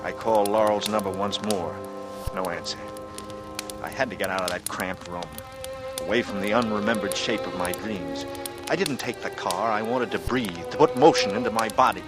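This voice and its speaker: A man's voice: on the low side, moderate pace, natural voice. An actor playing a haunted, intense man in a 1940s radio thriller, brooding and dramatic in manner. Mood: brooding narration. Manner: brooding, dramatic, swinging into anguished outbursts. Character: haunted, intense male lover and war veteran. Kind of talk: 1940s radio drama acting with first-person narration. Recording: vintage radio recording with music.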